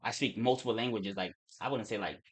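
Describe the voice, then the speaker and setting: A young man from Turks and Caicos with a mixed Caribbean and American accent, talking fast and casually on a trading livestream, with restarts and swearing. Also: fairly low pitch, husky. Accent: Turks and Caicos Creole-English mix.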